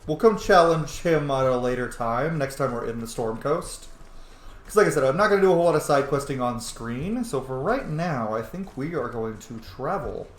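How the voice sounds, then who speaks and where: Animated male gamer in an echoey room. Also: mid-range voice, moderate pace. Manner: sweary, chatty, thinking out loud. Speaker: video game streamer. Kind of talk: casual gameplay commentary. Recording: echoey room, faint background noise.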